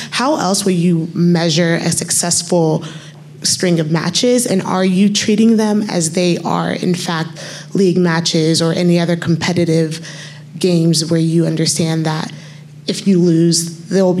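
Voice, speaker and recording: A female journalist asking questions at a press conference in measured, formal phrasing. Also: lowish voice, steady tone, darkish tone.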